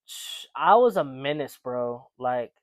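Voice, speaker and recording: A man's voice: medium pitch, lively intonation, takes it slowly, no echo, clean studio sound. A young man co-hosting a casual podcast chat, rambling with lots of fillers and restarts. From United States. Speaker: podcast co-host.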